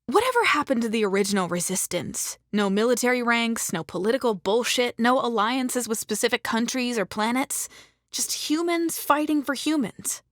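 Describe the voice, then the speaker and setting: A woman's voice: a little high, expressive, normal speed, no echo, clean studio sound, lightly acted. A woman playing a defiant, sardonic criminal and deserter in a dramatized audiobook. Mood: challenging. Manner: challenging, sardonic.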